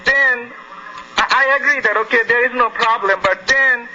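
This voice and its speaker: A man's voice: very high voice, moderate pace, pushed and bright. A man with an Indian accent calling in to a discussion show, speaking hesitantly and politely in a roundabout way. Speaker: phone-in caller. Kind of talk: live phone-in discussion. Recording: muffled phone line with faint noise.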